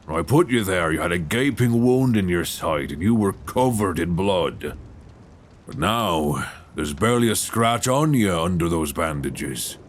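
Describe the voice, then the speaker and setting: A man playing a gentle but probing, folksy 19th-century lighthouse keeper in an intimate ASMR suspense roleplay, soft-spoken, halting and reassuring, close on a binaural mic. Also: lively intonation. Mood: calm, probing. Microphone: close binaural microphone. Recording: faint low rumbling background noise.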